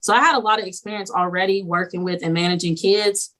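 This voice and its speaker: A young woman teacher chatting as a podcast guest, animated and laughing often, slipping into quoted voices as she tells stories. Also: lowish voice, quick pace, poor audio. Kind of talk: casual podcast interview.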